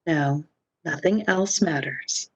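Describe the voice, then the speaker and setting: A woman's voice: low-pitched, slow, breathy edge, full character voice. A woman playing a devoted, reassuring lover in a radio romance, speaking soothingly and affectionately on a dull lo-fi microphone. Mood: tender. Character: devoted, tender, reassuring woman, long-lost lover returned. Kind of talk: old-time radio romance acting.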